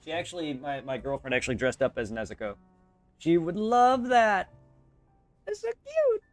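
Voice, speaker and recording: A man's voice: a little high, very animated, normal speed, no echo, soft background music. Chatty man streaming a game. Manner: chatty, swears freely, thanks viewers. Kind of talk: casual live-stream chat.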